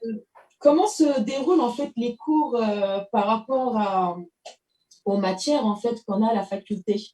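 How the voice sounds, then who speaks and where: A woman host chats with her guest in a relaxed, hesitant way, with plenty of fillers. Her voice is fairly high but mellow in tone, and it sounds roomy and echoey because it is picked up from a distance. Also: measured pace. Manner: chatty, hesitant, many fillers. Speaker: TV show host. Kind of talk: casual interview conversation.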